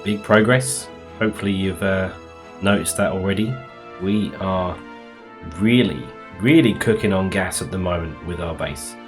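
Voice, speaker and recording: A man a little croaky from being sick, rambling casually through commentary on his game build with many ums and restarts. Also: deep voice, moderate pace, music in the background. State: slightly croaky from illness. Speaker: Minecraft let's play host.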